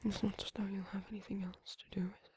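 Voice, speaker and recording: A woman playing a tender, flirty vampire in an intimate romantic ASMR roleplay, whispering slowly with doting, teasing words and soft hums. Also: mid-range voice, little pitch movement, slight background noise, close to an everyday voice. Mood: soft, gently teasing. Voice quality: breathy, husky whisper.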